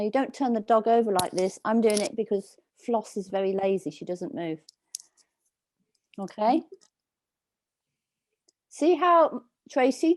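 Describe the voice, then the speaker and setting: A woman dog trainer giving slow, soothing instructions over a video call, with a calming voice. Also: fairly high pitch, dry recording. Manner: soft, slow, soothing coaching. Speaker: dog trainer leading a workshop.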